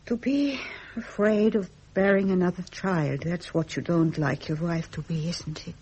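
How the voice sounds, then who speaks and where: A British actress playing an anxious, devoted, gentle royal wife in a period radio drama, speaking hesitantly and emotionally. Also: female voice, fairly low pitch, moderate pace, slightly rough. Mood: weary, fearful.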